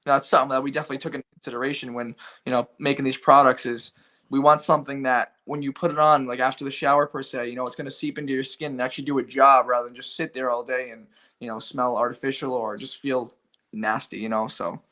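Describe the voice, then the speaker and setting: A man's voice: medium pitch, level tone, fast, bright, forceful tone. Rambling Rhode Island man, lots of fillers. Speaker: company founder, guest. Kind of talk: casual interview talk.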